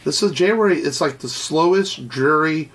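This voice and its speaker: A man's voice: fairly high pitch, moderate pace, fairly bright tone. A man from Texas co-hosting a podcast, congested from a sinus infection, rambling and cursing freely. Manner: rambling, salty, with many fillers and restarts. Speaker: podcast co-host. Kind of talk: casual chat.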